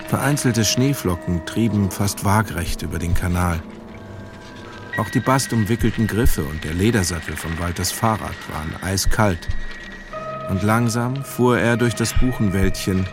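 Male narrator, low voice, literary scripted narration, radio drama, music behind.

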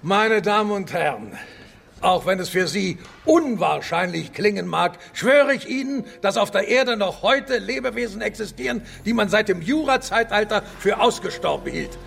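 A stubborn, combative explorer-professor in a radio play. The voice sits high for a man and grinds with gravel and rasp. He declaims with bombast and biting sarcasm. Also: lively intonation, normal speed, a bit bright, full character voice. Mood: declaiming. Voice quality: gravelly, raspy. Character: defiant, belligerent, stubborn male professor and explorer. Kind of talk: theatrical German radio drama acting.

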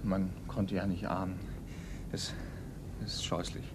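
A man acting a shaken, earnest young police assistant in a German radio crime play, speaking haltingly. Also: deep voice, little pitch movement, very noisy. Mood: appalled, subdued. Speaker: actor in radio crime drama.

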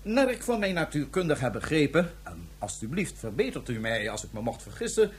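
Zwierige, spottende heerser met hese stem. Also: male voice, on the high side, big pitch swings, brisk, breathy edge. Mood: taunting. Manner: theatrical, condescending, ornate phrasing. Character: suave, mocking male imperial ruler, smoothly menacing. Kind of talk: Dutch science fiction radio drama acting.

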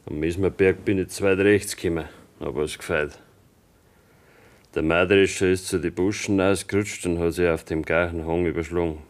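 An actor with a Bavarian accent playing a taciturn young farmer's son in a radio drama, giving terse, evasive answers. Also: male voice, deep voice, fairly even intonation, normal speed, lightly acted. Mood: guarded, stolid. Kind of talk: German radio drama acting.

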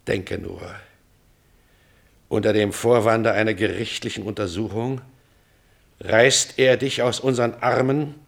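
An actor playing a stern, anguished older father in a classical radio tragedy, declaiming weightily with a husky, gravelly voice. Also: fairly low pitch, steady tone, a little slow, long pauses. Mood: tender, then furious. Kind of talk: classical German stage tragedy as radio drama.

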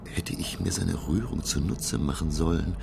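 An actor playing a thoughtful middle-aged professor, narrating his sea voyage in a measured, literary style for a radio drama. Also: low voice, creaky, noisy background. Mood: hushed, haunted.

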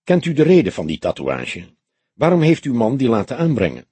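Guy doing a weary old cop in a detective audiobook, formal, careful, gravelly husky, dry sound. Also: medium pitch, wildly swooping pitch, mellow, no room sound, exaggerated voice. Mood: probing. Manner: formal, measured, cautious.

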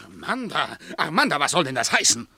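Blustering, scolding guardian, very animated. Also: male voice, a little high, fairly quick, roomy sound, full character voice. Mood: indignant. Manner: stern, sarcastic, lecturing. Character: strict, jealous male actor-guardian, blustering and scolding. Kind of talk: theatrical radio drama acting.

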